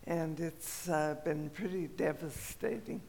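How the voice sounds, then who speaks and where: Slow raspy older woman in a hall. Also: deep voice, a little hiss or hum. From Colorado. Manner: slow, gentle reminiscing with frequent pauses and fillers. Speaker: storyteller. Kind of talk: personal storytelling.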